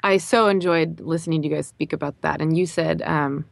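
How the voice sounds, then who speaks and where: A woman from Indiana, a musical guest on a radio talk show, gushing excitedly and laughing often into a studio mic. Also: low-pitched, brisk, vocal fry, no room sound. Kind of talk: excited radio interview chat.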